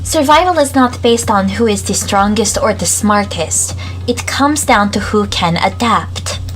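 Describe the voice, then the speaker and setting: A woman's voice: medium pitch, expressive, unhurried, soft background music, close to an everyday voice, rough recording. A woman voicing a haughty, unruffled teenage girl, formal and condescending, in an anime game fan dub. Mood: serene, superior.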